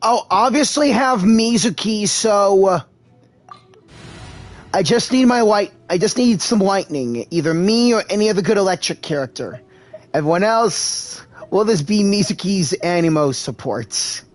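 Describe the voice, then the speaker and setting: A man's voice: high voice, lively intonation, a little slow, soft background music. A man doing livestream game commentary and voicing along as a character. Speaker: game streamer.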